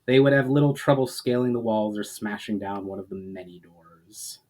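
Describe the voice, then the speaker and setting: A man voicing a grave, watchful elf warrior-mage in a storybook reading, speaking formally, grimly and deliberately. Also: lowish voice, darkish tone, natural voice.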